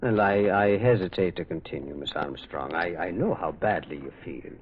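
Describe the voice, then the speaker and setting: A man's voice: low voice, lively intonation, normal speed, background noise. An actor playing a thoughtful, probing priest detective in a radio drama, hesitant and given to murmuring and repeating words as he muses. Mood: gentle, tactful. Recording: muffled, phone-like old radio recording.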